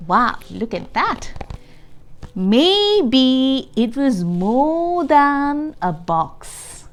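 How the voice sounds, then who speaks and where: A woman reading a picture book aloud for young children in an expressive, deliberate way, stressing key words and adding explanatory asides and questions. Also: high voice. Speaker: children's storybook reader.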